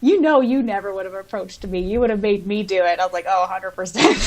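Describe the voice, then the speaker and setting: A woman's voice: medium pitch, a little hiss or hum, low-quality recording. Informal woman chatting, mid-range voice. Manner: informal, conversational. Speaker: podcast co-host. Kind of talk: casual chat, personal anecdotes.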